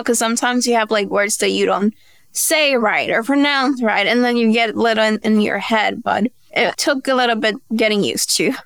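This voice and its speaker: A woman's voice: on the high side, fairly bright tone, no echo. A woman from the Dominican Republic, a native Spanish speaker, chatting casually as an interview guest. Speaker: podcast guest, beauty brand founder.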